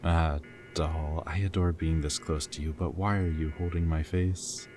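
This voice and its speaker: A man's voice: rumbling low, steady tone, measured pace. A man playing a goofy, flirty, protective rebel captive in a dystopian roleplay audio drama, with a wry, intimate way of talking. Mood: playful, flirty. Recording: background music, loud ambient noise in places.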